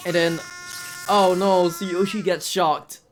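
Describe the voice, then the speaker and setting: A speedrunner giving casual live commentary while playing, with excitable outbursts. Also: mid-range voice, slow, a little hiss or hum. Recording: loud game music behind. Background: video game music.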